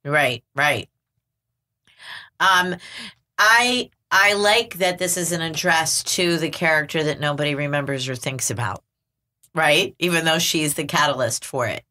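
A woman's voice: deep voice, a little slow, pushed and bright. A woman hosting a literary podcast, upbeat and leading the conversation. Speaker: podcast host. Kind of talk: casual literary discussion.

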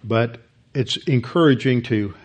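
A man in his sixties, a pastor leading an evening Bible study, who speaks slowly with pauses and fillers while reading a letter aloud. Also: medium pitch. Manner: reads a letter aloud, slow and halting with fillers. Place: studio with plain backdrop, standing at a lectern.

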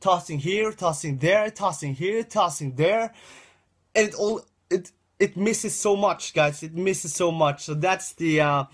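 A man's voice: high-pitched, a little slow. A young man giving casual gameplay commentary, repeating himself and talking to viewers as "guys," with a dry throat, recorded indoors on a webcam setup. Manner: rambling, repeats phrases, addresses viewers as guys. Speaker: gaming channel host.

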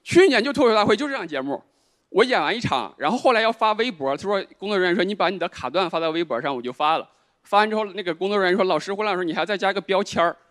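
A man from Northeast China performing a stand-up set, delivering quick, animated deadpan punchlines on a stage mic. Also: sits high. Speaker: stand-up comedian. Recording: stage mic with audience noise.

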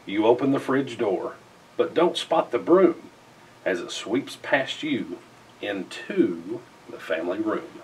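A middle-aged man reads a rhyming children's picture book aloud in an expressive, theatrical way with emphatic phrasing. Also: fairly low pitch, takes it slowly, far from the mic, a little hiss or hum, low-quality recording. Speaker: storybook reader. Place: indoors in front of a festive backdrop.

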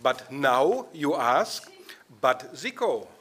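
A man's voice: medium pitch, big pitch swings, very slow, fairly bright tone, husky. A man giving a wry, storytelling lightning talk from a podium in a conference hall. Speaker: conference speaker. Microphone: podium microphone.

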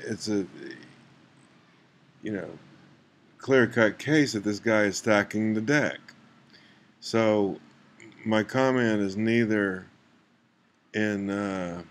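A man giving unscripted screen-recording commentary, halting and rambling, with an aggrieved manner. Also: lowish voice. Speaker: video maker, commentator. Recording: clear background noise.

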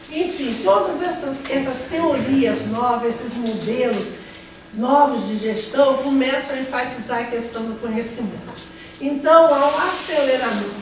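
A middle-aged woman with a Brazilian accent lecturing in a classroom, explaining step by step and often checking in with her listeners. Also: high voice. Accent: Brazilian Portuguese. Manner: explanatory, repeats and restarts phrases, checks in with "né?". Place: large classroom with a projector. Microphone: no mic, far camera. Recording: echoey room from far off, noisy.

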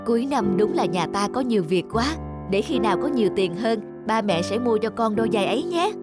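A man playing a kindly, easygoing father of young girls in a cartoon, talking in a gentle, placating way. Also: high voice, expressive, fairly quick, over loud music. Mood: hesitant, placating. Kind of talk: cartoon voice acting.

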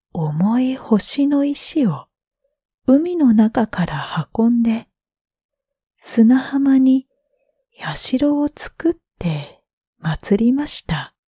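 A woman narrating bedtime stories for sleep in a gentle, drawn-out way with breathy phrase endings. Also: fairly high pitch, sing-song, dry recording, studio quality. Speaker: storyteller, freelance announcer.

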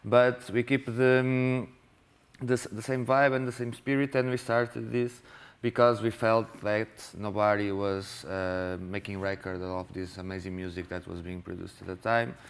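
Gravelly man leading a workshop. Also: on the low side, little pitch movement. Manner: halting, restarts often. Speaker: workshop leader. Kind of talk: masterclass talk. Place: classroom with projector.

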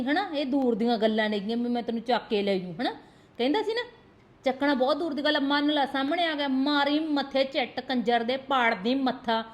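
A woman playing a fierce sister-in-law. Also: sits high. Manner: scolding, insistent, repeats demands for attention. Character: firm protective sister-in-law, blunt and fierce. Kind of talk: village family drama acting.

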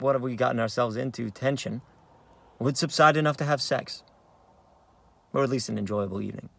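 Guy acting as stressed out thirtysomething dad, kinda high voice, wry exasperated stage monologue, recorded in a car. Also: fairly bright tone, no room sound. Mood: wry, weary. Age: 30s. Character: frazzled, self-deluding young stay-at-home dad, cursing and scheming. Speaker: actor. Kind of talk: dramatic staged reading, third-person monologue. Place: inside a car. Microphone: phone or camera at arm's length.

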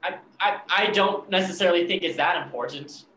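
A man's voice: high voice, fairly quick, pushed and bright. Echoey, touchy young tortured artist played by a man. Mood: defensive. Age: young adult. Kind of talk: virtual staged play reading. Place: bare painted-wall room, video call. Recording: echoey room with background hiss.

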